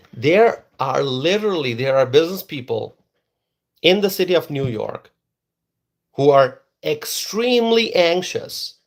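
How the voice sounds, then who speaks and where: A man whose first language is Bengali, giving an emphatic political opinion monologue and repeating words for stress. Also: fairly high pitch. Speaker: political commentator.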